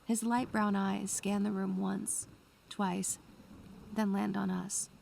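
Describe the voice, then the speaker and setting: Woman as bookish student narrator, creaky voice. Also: medium pitch, flat delivery, slow, darkish tone, a little hiss or hum. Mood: brooding, wistful. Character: bookish, anxious nineteen-year-old female student narrating her memories. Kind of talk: atmospheric first-person scripted narration.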